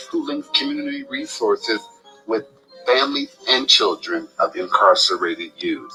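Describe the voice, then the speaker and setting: A man's voice: mid-range voice, poor audio. Man announcing a radio promo over music. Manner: announcer delivery, lively rise and fall. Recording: voice over a loud music bed.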